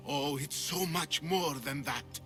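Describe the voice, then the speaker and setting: An actor playing an anxious, self-important ancient Greek sculptor in a video game, with a theatrical, effusive delivery. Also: male voice, a little high, slow pace, pushed and bright, faint music behind. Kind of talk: video game character acting.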